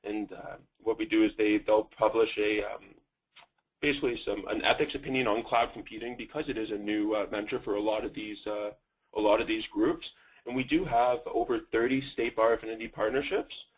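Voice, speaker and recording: A man's voice: fairly low pitch, fairly even intonation, brisk, slightly rough, low-quality recording. A man with a Canadian accent presenting a company in a webinar, talking with many fillers and restarts.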